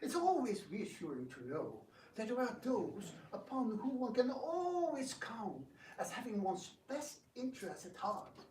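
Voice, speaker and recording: A man's voice: extremely high pitch, sing-song, measured pace, few pauses, darkish tone, full character voice. A man playing a proud, scheming prince who feigns gracious courtesy in a theatrical reading. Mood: effusively gracious. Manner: florid, exaggerated courtesy. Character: proud, scheming Indian prince, a grandfather, falsely gracious host. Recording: echoey room, distant mic, background noise.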